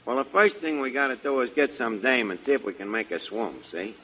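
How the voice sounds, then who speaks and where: An actor playing a brash, slangy, pushy wisecracker in 1940s radio comedy. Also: male voice, medium pitch, lively intonation, brisk, lightly acted. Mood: bossy, scheming. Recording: muffled 1940s radio broadcast.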